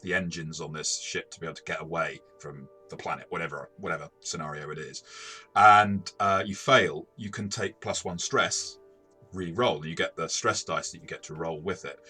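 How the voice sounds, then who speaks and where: A man talking casually through a game review in a measured, explanatory way. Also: low voice, pushed and bright, slightly rough, faint music behind. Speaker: tabletop game reviewer and game master.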